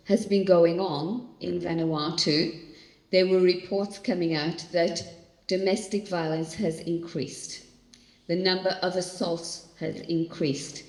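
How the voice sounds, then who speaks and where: Older lady preacher telling stories, lowish voice, big echoey hall with long reverb. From Australia. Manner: animated storytelling. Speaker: pastor. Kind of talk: church talk on stress. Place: large hall.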